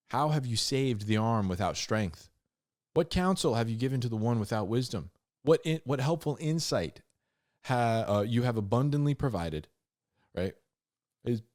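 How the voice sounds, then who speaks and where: Low-voiced man giving casual Bible commentary. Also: no room sound, clean studio sound. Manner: colloquial, slangy, animated asides. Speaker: host of a Bible reading show.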